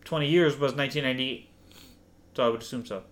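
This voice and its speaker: Casting: male podcast co-host, medium pitch, rapid casual chat, plain-spoken, swears freely. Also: fairly bright tone.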